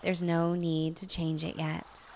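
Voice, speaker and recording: A woman hypnotist gently narrating a guided relaxation, soothing and unhurried, with long pauses between phrases. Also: lowish voice, completely flat delivery, vocal fry, background noise.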